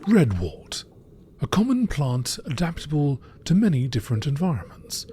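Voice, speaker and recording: A man reading scripted science-fiction encyclopedia entries in a measured, formal nature documentary style. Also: a little high, big pitch swings, mellow, a little hiss or hum. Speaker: narrator reading game lore entries.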